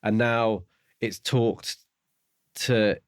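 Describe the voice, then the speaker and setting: A man's voice: lowish voice, steady tone, drawn-out words, no room sound, clean studio sound. A man co-hosting a podcast, casually discussing a book and theorising aloud in a tentative, musing way. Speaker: podcast co-host.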